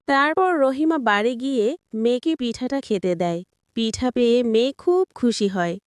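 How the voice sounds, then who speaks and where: Fairly high-voiced woman storyteller. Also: moderate pace, clear, resonant tone, no echo, clean studio sound. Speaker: narrator. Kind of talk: scripted storytelling for a children's cartoon.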